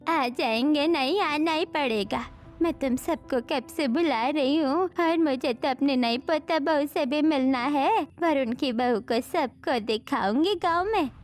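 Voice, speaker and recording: A woman playing an affectionate, insistent elderly village grandmother in an animated family story, coaxing and bossy. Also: high voice, unhurried.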